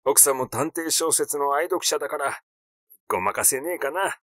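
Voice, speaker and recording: A man's voice: a little high, normal speed, a bit bright, no room sound, clean studio sound, natural voice. A man voicing a flustered, easily irritated male doctor in a story reading, hesitant, rambling and grumbling. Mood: flustered, defensive.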